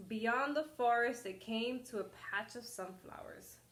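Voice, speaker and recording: Casting: female storyteller for children's read-aloud, unhurried and expressive, slightly high voice, roomy sound.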